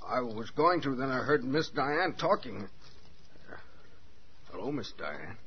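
A noisy, muffled old radio recording holds a big, lonely handyman. He is deferential with a sinister edge, his medium-pitched voice sliding widely in forties radio drama style. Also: big pitch swings, slow. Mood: sheepish, ingratiating. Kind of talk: 1940s radio drama acting. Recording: band-limited, noisy 1940s radio broadcast.

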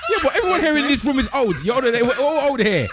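A man's voice: very high voice, sing-song, very fast, heavy background noise. A man, speaking bluntly and teasing the others in a casual chat. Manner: blunt, teasing, matter-of-fact. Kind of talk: casual debate among friends.

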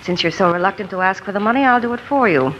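A woman's voice: mid-range voice, lively intonation, fast talker, a little hiss or hum, close to an everyday voice. An actress playing a jealous, pushy woman swindling for a cult, in 1950s radio drama style, on a dull old broadcast recording. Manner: sharp, needling, then sugary persuasion.

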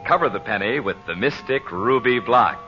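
An American man announcing and pitching on old-time radio in an emphatic, salesmanlike style, speaking straight to the listener. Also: animated, moderate pace, music in the background. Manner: salesmanlike, emphatic, direct address. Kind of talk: 1940s radio advertising announcing. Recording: dull vintage radio broadcast recording.

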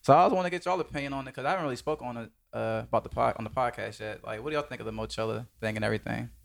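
Fast-talking man hosting a casual podcast. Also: mid-range voice, creaky. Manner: slangy, informal. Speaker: podcast host. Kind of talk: casual conversational podcast talk.

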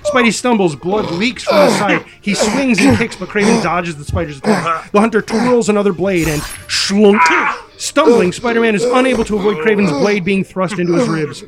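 A man's voice: high voice, expressive, normal speed. A man reading stage directions as dramatic scripted narration of action scenes.

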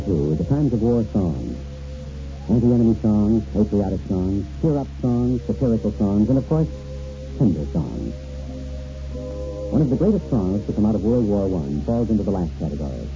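A man delivering scripted narration as an announcer on 1940s radio. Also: lowish voice. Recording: dull 1940s radio broadcast over music.

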